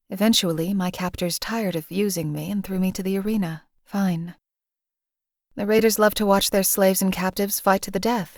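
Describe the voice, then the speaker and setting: A cold, detached young woman who was raised as property and learned to kill without hesitation, voiced by an actress for a game. She speaks quietly and matter-of-factly with a slightly low voice. The recording is dry and close, with no room sound. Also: no room sound, natural voice. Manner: quiet, matter-of-fact, emotionally flat delivery. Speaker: game character.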